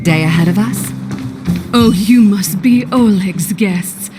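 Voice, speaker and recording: A woman's voice: medium pitch, takes it slowly, music in the background, natural voice. A woman playing a welcoming, chatty hotel keeper in a video game, friendly and informal. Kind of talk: game cutscene dialogue.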